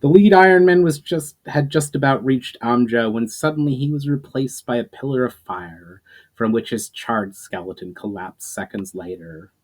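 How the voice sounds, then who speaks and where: A man's voice: medium pitch, expressive, moderate pace, darkish tone. A man reading an adventure story aloud in a dramatic narration style, starting phrases emphatically and letting them trail off.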